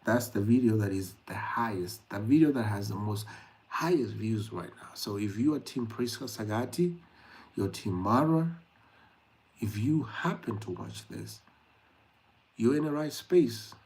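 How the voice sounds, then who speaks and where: A man's voice: on the low side, expressive, unhurried, darkish tone, low-quality recording. A young man from Kenya hosting a late-night livestream, chatting directly to his viewers with frequent fillers. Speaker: YouTube livestream host. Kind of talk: casual livestream talk to viewers.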